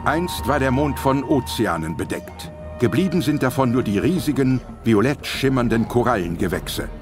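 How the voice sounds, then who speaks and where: A man's voice: medium pitch, lively intonation, moderate pace, music in the background. A man narrating a scripted audio drama as the storyteller.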